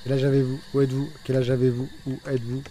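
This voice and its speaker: Warm-voiced man streaming a game. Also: mid-range voice, little pitch movement, racing, a little hiss or hum, rough recording. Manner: urgent, repetitive, directing teammates. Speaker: streamer playing a ghost-hunting game. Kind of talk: live gaming commentary.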